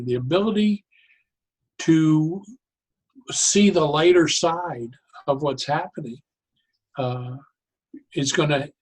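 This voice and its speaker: A man speaking as an interview guest, explaining his views conversationally in halting, deliberate phrases with pauses. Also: on the high side, slightly rough, rough recording. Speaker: interview guest, therapeutic humor expert.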